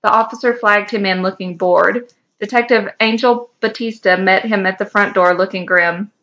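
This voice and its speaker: Bright-voiced woman reading fan fiction. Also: medium pitch, steady tone, normal speed, muffled recording. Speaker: podcast co-host.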